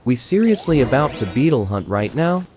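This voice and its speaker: Lively, sarcastic gameplay commentator. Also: medium pitch, moderate pace, faint music behind. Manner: sarcastic quips. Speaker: gameplay video maker.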